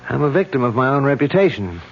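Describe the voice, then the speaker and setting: Radio-play detective: a breezy, cocky cop, dull old recording. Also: male voice, mid-range voice, very animated, quick pace, slight background noise. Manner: jokey, self-assured. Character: cocky male police detective. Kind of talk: 1970s radio drama acting.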